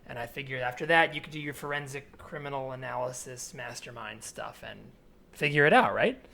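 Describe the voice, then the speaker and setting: A man's voice: mid-range voice, brisk, creaky, bright, forceful tone. A man improvising a comedy scene as a sheepish, obsessive man asking a favour, rambling and hesitant. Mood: obsessive, pleading. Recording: remote recording with faint background noise.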